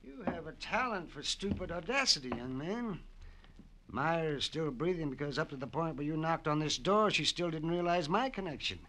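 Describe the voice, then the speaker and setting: An actor playing a calculating older villain in a classic radio drama, precise and self-satisfied. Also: male voice, on the high side, lively intonation, normal speed, sharp tone, a little hiss or hum. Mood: smug, cold. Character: smug, calculating older male villain with a gun.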